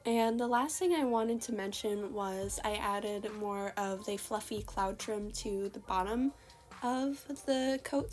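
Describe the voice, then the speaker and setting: A young woman giving casual, rambling voice-over commentary on a costume redesign, her voice slightly hoarse from a recent illness. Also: fairly high pitch. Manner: chatty, rambling, many "like" fillers. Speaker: artist commenting on a character redesign. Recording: voice-over with faint background music.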